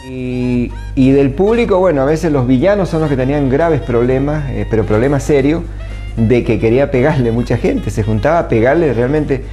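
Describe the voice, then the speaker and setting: Older man, mid-pitched dark warm voice, hesitant recollection, soft guitar music behind. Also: moderate pace. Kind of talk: reminiscing interview talk.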